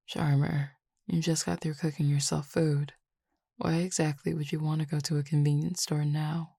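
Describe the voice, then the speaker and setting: A woman voicing a composed, formal supernatural cupid roommate who speaks in precise, measured words and teases gently. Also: sits low, little pitch movement, vocal fry, slightly rough, dry recording, clean studio sound, heavily acted. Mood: teasing. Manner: precise, formal wording, measured and unhurried, soft asides. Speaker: voice actor in an audio roleplay. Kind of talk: audio roleplay addressed to the listener.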